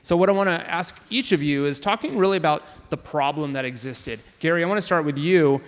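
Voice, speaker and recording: Upbeat male panel moderator, clear voice. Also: on the high side, a little fast. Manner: upbeat, enthusiastic. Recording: long hall reverb.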